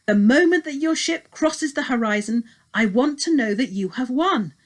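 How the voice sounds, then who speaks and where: Lady reading as a worried older king, storybook dad, solemn and earnest, pitch moves a lot. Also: animated, moderate pace. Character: anxious older king, protective father. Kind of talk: storybook character voice.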